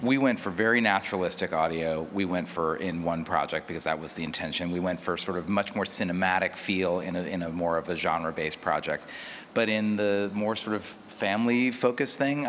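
A man with a fairly low voice speaks as a panelist, working out his thoughts aloud and qualifying them. The hall adds long reverb, with faint noise underneath. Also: a bit bright. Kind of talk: unscripted panel discussion.

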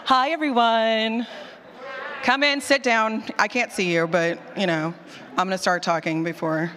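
A woman presents informally at a conference session through a handheld mic. Her mid-pitched voice rings with long hall reverb. She is chatty, often cutting herself off for joking asides. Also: fairly even intonation, a little slow, fairly bright tone, slight background noise. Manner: chatty, self-interrupting, jokey asides. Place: large room with an audience. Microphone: handheld microphone.

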